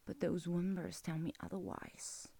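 Woman acting a breathy, husky, seductive dominant lover. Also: fairly low pitch, flat delivery, dark tone. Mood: seductive, teasing. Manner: breathy, slow, commanding, often whispering. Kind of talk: erotic audio roleplay, whispered and intimate.

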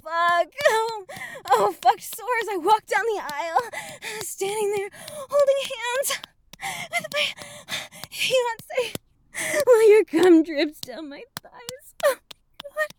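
A woman's voice: very high-pitched, expressive, slow pace, slight background noise, exaggerated voice. A woman playing an overwrought, conflicted adult bride in an explicit audio roleplay, with breathless, moaning delivery.

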